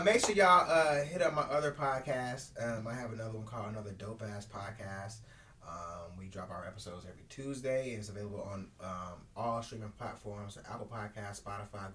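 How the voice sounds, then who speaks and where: A man's voice: on the low side, fairly bright tone, a little hiss or hum. A man co-hosting a podcast in casual chat, laid-back and slangy with lots of fillers. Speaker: podcast co-host. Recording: roomy with faint ambience.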